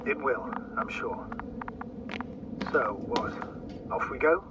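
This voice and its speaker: An authoritative space mission commander for a dramatic video game. He is formal and impatient, used to giving orders. The voice arrives over radio, somewhat distant, with clear noise around it. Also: medium pitch, expressive, slow. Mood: stern, measured.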